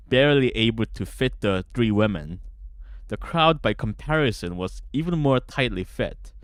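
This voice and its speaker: A man's voice: fairly low pitch, a bit bright, no echo, clean studio sound. A man chatting casually on a livestream as a game developer, stammering and repeating his words. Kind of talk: casual livestream chat with some read-aloud narration. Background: guitar music.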